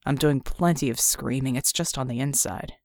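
A woman doing a gruff, wounded man. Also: moderate pace, a little mellow, no room sound, clean studio sound. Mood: strained. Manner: low, strained, sardonic. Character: gruff wounded male fighter, dry and terse.

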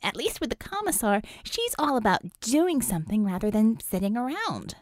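Lady voicing a blunt feisty hero girl, exasperated but caring, kinda high and really animated. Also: normal speed, no echo, clean studio sound. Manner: informal, emphatic, blunt. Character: outspoken, feisty young female superhero, exasperated but caring.

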